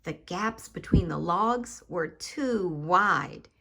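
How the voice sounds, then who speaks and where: A woman's voice: on the low side, lively intonation, takes it slowly, gravelly, bright, forceful tone, slightly rough. A woman hosting a children's story time, reading a picture book expressively, addressing young listeners directly and stressing key words for drama.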